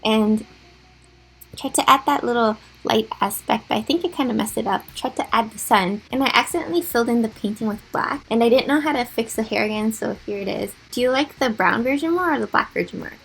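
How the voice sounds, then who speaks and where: A young woman with a raspy voice, chatting casually and with self-deprecating humor as she talks over a drawing timelapse. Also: on the high side, a little fast, slight background noise, low-quality recording. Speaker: gaming YouTuber.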